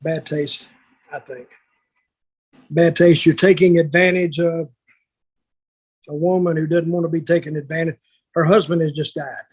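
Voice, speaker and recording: An older man with a husky voice telling stories casually on a podcast, blunt and plainspoken, halting and repeating words. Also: a little high, steady tone, darkish tone. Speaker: podcast co-host, wrestling veteran.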